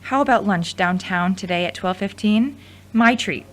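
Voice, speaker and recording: A woman's voice: mid-range voice, moderate pace, close to an everyday voice. Frank, teasing woman in an acted part. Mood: supportive, frank. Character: frank, teasing, supportive ex-girlfriend and studio manager. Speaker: actor in an audio drama. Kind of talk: conversational scripted audio drama acting.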